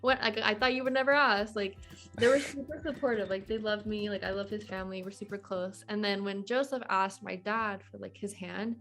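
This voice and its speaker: A woman's voice: medium pitch, steady tone, brisk, a bit bright, soft background music. A woman in her twenties talking casually as a podcast guest, in a chatty, informal way peppered with "like". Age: 20s. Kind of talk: casual conversational interview.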